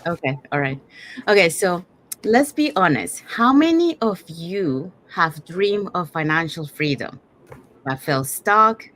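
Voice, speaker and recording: Woman hosting a casual webinar, voice a bit low. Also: sing-song, slow pace, fairly bright tone, low-quality recording. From Panama. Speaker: host, digital business owner. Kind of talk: casual live webinar presenting.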